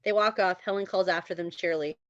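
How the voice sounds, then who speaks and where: A woman's voice: fairly low pitch, steady tone, quick pace, dry recording, muffled recording. A woman reading stage directions as scripted narration at a screenplay table read.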